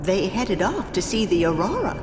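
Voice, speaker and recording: A woman's voice: medium pitch, big pitch swings, normal speed, background noise, close to an everyday voice. An actress playing a mystical woman who senses spirits, solemn and portentous, in a game cutscene. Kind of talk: video game cutscene voice acting. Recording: echoey, music behind.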